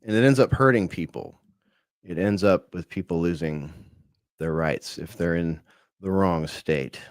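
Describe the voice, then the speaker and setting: A man's voice: sits low, unhurried, no room sound, clean studio sound. A man co-hosting an online talk show, chatting casually about politics with lots of fillers and restarts. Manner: rambling, hesitant, many fillers. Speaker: podcast co-host.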